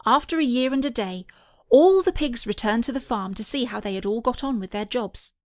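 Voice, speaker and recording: A woman's voice: a little high, animated, brisk, dry recording, clean studio sound. A woman narrating a scripted children's story as an audiobook reader.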